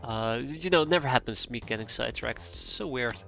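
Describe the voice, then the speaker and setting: A man's voice: medium pitch, a little fast, vocal fry, a bit bright, soft background music. Stuffy-nosed man rambling through a game stream. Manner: rambling, thinking aloud, easily sidetracked. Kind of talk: live gameplay commentary, chatting with viewers.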